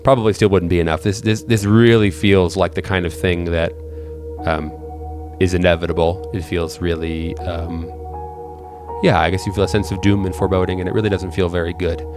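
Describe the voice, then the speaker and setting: A man working as game master, improvising horror narration in a halting way, often repeating words as he thinks aloud. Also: low voice, steady tone, music in the background.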